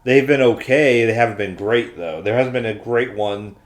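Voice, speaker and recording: A man's voice: medium pitch, moderate pace. A man co-hosting a podcast, chatting casually and giving his opinions in a matter-of-fact way. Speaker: podcast co-host. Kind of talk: casual chat.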